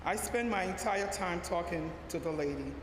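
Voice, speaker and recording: A middle-aged woman from Liberia, reading a sermon in a measured, deliberate way with a few restarts. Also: lowish voice. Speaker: lay preacher, social worker. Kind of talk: sermon read from a prepared text. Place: church sanctuary. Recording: echoey church hall, faint background hum.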